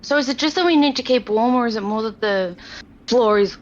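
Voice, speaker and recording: A woman's voice: a little high, brisk. A woman speaking casually as a player in a tabletop game. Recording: dull muffled mic, faint noise.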